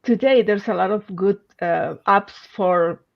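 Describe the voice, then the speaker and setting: Slow, hesitant woman. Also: medium pitch, creaky, dull audio. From Chile. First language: Spanish. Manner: hesitant, searching for English words, many fillers. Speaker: teacher. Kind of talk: casual chat on a writing stream.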